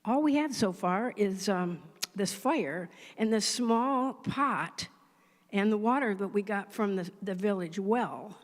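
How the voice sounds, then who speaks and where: A woman's voice: medium pitch, animated, takes it slowly. A woman voicing a friendly traveling stranger who coaxes villagers into helping make soup, with a persuasive manner. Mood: coaxing. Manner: coaxing, persuasive. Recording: long church hall reverb.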